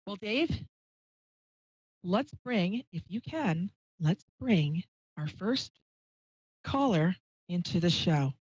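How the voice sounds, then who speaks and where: A woman's voice: fairly low pitch, lively intonation, takes it slowly, long pauses, darkish tone, breathy edge. A woman hosting a live call-in radio show in a chatty, scattered, self-deprecating way. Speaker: radio talk show host, psychic medium. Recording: dull, roomy live stream audio.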